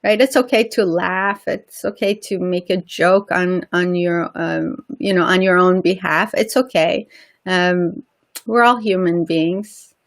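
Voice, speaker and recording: A woman's voice: on the low side, fairly even intonation, measured pace. A woman with a slight Persian accent, a guest on a casual interview, talking earnestly and often repeating words while she searches for them.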